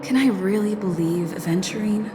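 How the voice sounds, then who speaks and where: A woman's voice: medium pitch, normal speed, lightly acted. An actress playing a young female traveler in a video game, thinking aloud in an unsure, questioning way. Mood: doubtful, pondering. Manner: questioning, introspective. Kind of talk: English video game voice acting. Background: music.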